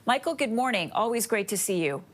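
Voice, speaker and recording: A woman's voice: on the high side, expressive, a little fast. A woman anchoring television news, conducting a live interview with a guest.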